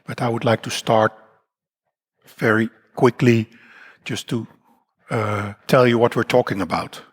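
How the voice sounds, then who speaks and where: Croaky Dutch man giving a conference talk. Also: mid-range voice, steady tone, unhurried. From Netherlands. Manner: rambling, self-deprecating, with many fillers and restarts. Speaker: conference speaker. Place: conference hall.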